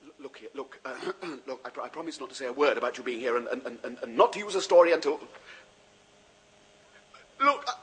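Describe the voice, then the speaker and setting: A man's voice: mid-range voice, expressive, a little fast, pauses often, a bit bright, slight background noise, close to an everyday voice, poor audio. An actor playing a frightened male reporter who stammers and pleads in a 1970s radio drama. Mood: panicked. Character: frightened male reporter, blustering then begging.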